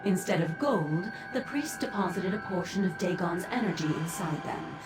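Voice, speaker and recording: A cold otherworldly sorceress played by a woman speaks in an echoing space over music. Her lowish voice is formal and measured, with the haughty composure of a fantasy game mentor addressing a lesser being. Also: expressive, natural voice. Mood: composed, imperious. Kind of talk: video game character dialogue.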